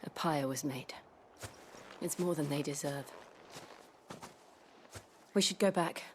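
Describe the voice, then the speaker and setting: An actress playing a calm, solemn young noblewoman with a soft, breathy voice in a game cutscene. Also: low-pitched, slow pace, background noise. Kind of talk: dramatic video game cutscene acting.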